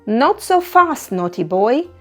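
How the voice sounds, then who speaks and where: A woman voicing a strict, scolding headmistress in a children's storybook, firm and deliberate. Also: on the high side, big pitch swings, fairly bright tone, faint music behind, pitched above the performer's own voice, full character voice. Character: stern, bossy headmistress.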